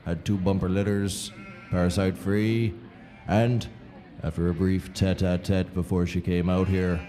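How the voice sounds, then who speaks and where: A man's voice: sits low, flat delivery, unhurried, dark tone. An actor playing a folksy, rambling, sardonic livestock auctioneer in a scripted audio comedy, wordy and droll in his delivery. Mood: folksy patter.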